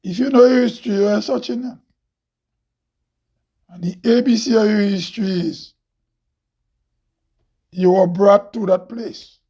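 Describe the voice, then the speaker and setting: A man from Grenada with a Grenadian accent and a loud, heavy voice, hosting an impassioned monologue full of rhetorical questions. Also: sits high, a little slow, pauses often. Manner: preachy, rhetorical questions, laughs often. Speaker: host. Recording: dull lo-fi recording.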